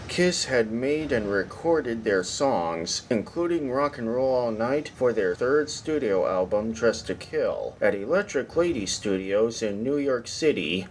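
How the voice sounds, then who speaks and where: Slow-paced man reading a script. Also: medium pitch, slight background noise. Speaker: podcast host. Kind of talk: scripted narration.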